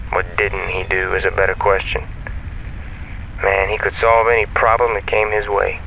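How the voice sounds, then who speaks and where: A chatty, self-assured space comms operator talks in a lowish, gravelly male voice with a forceful edge. He is folksy, easygoing and playful, in naturalistic sci-fi audio drama over ship radio. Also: monotone, normal speed, slight background noise, full character voice, rough recording. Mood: playful, proud.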